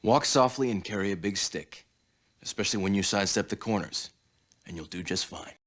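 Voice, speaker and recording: An actor playing a tough, sardonic officer and stern older brother in a video game cutscene, gruff and commanding. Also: male voice, fairly low pitch, brisk, fairly bright tone, a little hiss or hum, natural voice. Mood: sardonic, drilling.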